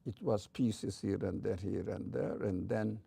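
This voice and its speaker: An older man with a heavy Turkish accent giving an informal religious lecture as a teacher, in a halting way with frequent pauses. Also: on the low side, steady tone, creaky, dark tone, breathy edge, no echo. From Turkey.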